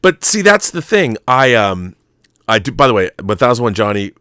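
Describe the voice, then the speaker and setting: A man's voice: medium pitch, very animated, moderate pace, fairly bright tone, clear, resonant tone, no room sound, clean studio sound. An American man in his fifties hosting a casual talk show, rambling animatedly and emphatically with opinionated tangents and the odd swear word. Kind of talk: casual, rambling opinionated live chat answering viewer messages.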